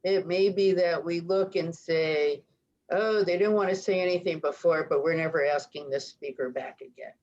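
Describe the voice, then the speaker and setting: A woman's voice: fairly low pitch, moderate pace, a little mellow. A woman on a video call, carefully checking the pricing details aloud. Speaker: convention planning team member. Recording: dull audio with faint room noise.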